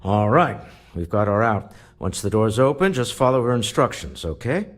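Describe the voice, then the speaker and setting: A man's voice: on the low side, sing-song, moderate pace. An actor playing a dryly self-aware, steadying businessman ally in a video game, talking in a reassuring way.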